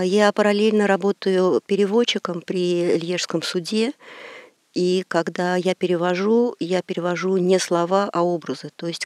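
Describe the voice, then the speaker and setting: A middle-aged woman from Russia, working as a court interpreter, giving measured and reflective answers with small hesitations in an interview. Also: fairly low pitch, a little mellow, dry recording.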